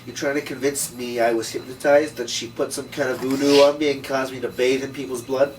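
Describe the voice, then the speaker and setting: A man playing a tough, suspicious, hot-headed noir detective with blunt, gruff delivery in a script table read. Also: mid-range voice, steady tone, fairly quick, natural voice. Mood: angry, threatening. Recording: distant mic, noisy room.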